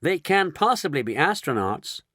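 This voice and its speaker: A panicky astronaut, high and insistent, swearing by what he sees, as a man acts him out. His voice swings widely, a storybook spaceman. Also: big pitch swings, moderate pace, pushed and bright, no room sound, studio quality. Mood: alarmed. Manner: alarmed and insistent. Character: panicky, excitable male astronaut.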